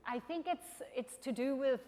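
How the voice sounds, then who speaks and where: A woman's voice: sits high, dark tone. Woman speaking on a conference stage. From Denmark. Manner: thoughtful, slightly halting phrasing. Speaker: panel guest, insurance executive. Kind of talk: onstage conversation. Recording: reverberant conference hall.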